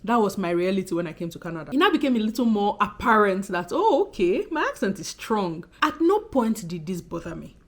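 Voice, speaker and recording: A woman in her thirties speaks with a Nigerian accent that has a Benin lilt underneath. She talks to her viewers in a casual, expressive way, quick in delivery. Her pitch sits in a middle range for a woman. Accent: Nigerian, Benin City undertone. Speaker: YouTuber. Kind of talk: casual talking-to-camera vlog.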